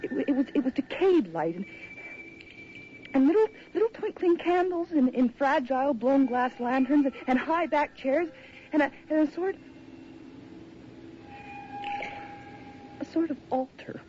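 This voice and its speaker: A woman's voice: sits high, lively intonation, moderate pace. An actress playing a sensitive, imaginative young woman writer in a radio drama, with an uneasy manner. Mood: uneasy, awed. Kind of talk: radio drama acting with first-person narration. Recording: muffled, noisy old radio drama recording.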